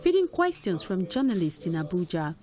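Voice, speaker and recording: A woman reporter reading a news report in a measured, formal voice. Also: fairly low pitch, very animated, a crowd in the background.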